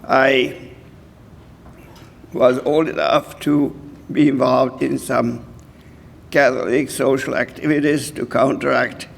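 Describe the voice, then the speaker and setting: Slow, gravelly man over ninety. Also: mid-range voice, level tone. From Hungary. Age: 90s. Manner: slow, halting, frequent throat clearing. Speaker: award recipient. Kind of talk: acceptance speech. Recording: reverberant hall.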